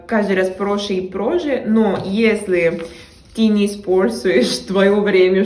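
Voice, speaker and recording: A woman's voice: medium pitch, roomy sound. A young woman from Mexico with a Mexican Spanish accent, talking casually to camera in a language she learned, hesitating with frequent fillers and restarts.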